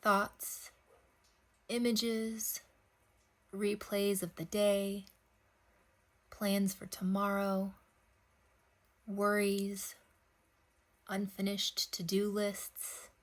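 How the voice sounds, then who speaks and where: Female meditation guide for bedtime practice: medium pitch, very slow delivery, gentle and spacious phrasing. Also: completely flat delivery. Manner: gentle, unhurried phrasing with long pauses.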